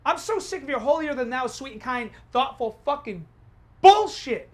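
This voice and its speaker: Defensive, driven female playwright, short film drama. Rough, husky voice, halting, profane delivery. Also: high voice. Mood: furious. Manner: halting, profane, self-justifying. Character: defensive, ambitious playwright fleeing a long relationship.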